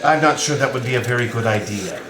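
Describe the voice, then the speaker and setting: A man's voice: mid-range voice, quick pace, roomy sound, a crowd in the background, natural voice, poor audio. A man acting a polite, tentative waiter in a reading of a novel. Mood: doubtful. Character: cautious, curious waiter.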